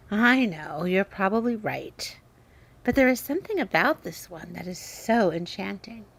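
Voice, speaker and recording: A woman's voice: mid-range voice, expressive, slow, breathy edge, heavily acted. A woman playing a vain, sulky seductive spirit in a radio horror drama, lamenting with a pout. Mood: wistful.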